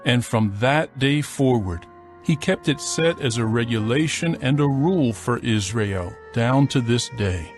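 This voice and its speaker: A man narrating scripture from a script in a solemn, measured storytelling manner. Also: medium pitch, animated, soft background music.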